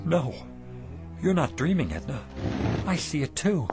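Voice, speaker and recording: An actor playing a struggling, world-weary shopkeeper husband in a radio drama, skeptical and hungry for more. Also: male voice, on the high side, big pitch swings, a little slow, music in the background. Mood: excited, greedy.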